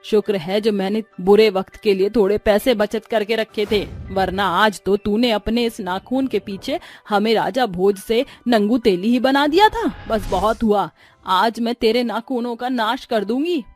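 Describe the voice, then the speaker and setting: A woman's voice: medium pitch, unhurried, lightly acted. Woman acting an exasperated elderly mother-in-law. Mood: scolding. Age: older. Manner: scolding, weary, sarcastic. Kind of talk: Hindi cartoon story character acting. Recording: music under the voice.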